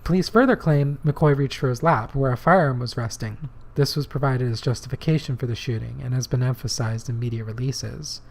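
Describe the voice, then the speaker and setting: A man co-hosting a political podcast, talking earnestly and plainly, his voice slightly hoarse from shouting. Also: medium pitch, brisk, hardly any pauses. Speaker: podcast co-host. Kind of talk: casual political podcast talk.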